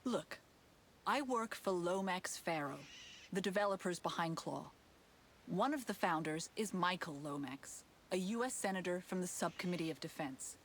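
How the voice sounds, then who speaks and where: An actress playing an anxious, guarded company insider in a video game, explaining things earnestly. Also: female voice, fairly low pitch, expressive, normal speed, a little hiss or hum. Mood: tense. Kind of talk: cinematic game acting.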